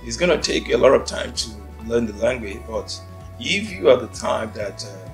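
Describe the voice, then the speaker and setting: Nigerian man telling his story, slow. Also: medium pitch, expressive, vocal fry. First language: Yoruba. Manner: unhurried, thoughtful, many "uh" pauses. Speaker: interviewee. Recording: faint music behind.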